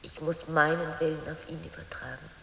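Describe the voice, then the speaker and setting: A woman playing an exhausted, resigned daughter in a radio drama, speaking in short, subdued, breathy answers. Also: low-pitched, steady tone, a little fast, bright, forceful tone, slight background noise, exaggerated voice. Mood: weary, resigned. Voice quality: breathy, whispery. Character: exhausted, resigned grown daughter, calm and dutiful. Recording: echoey reverberant hall.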